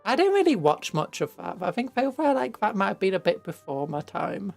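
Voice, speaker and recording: Young British woman, croaky voice, rambling casual streamer chat, faint music underneath. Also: mid-range voice, sing-song, brisk, a little mellow. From Britain. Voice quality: croaky from hay fever. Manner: rambling and playful, with restarts and sudden squeals. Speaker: streamer painting miniatures.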